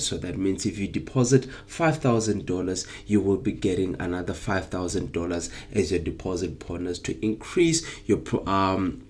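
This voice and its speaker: A man's voice: fairly low pitch, a little mellow. Upbeat man, under the weather. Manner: upbeat, hypes up viewers, frequent ums. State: unwell with flu, voice less clear. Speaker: trading session host. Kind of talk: live-streamed trading session.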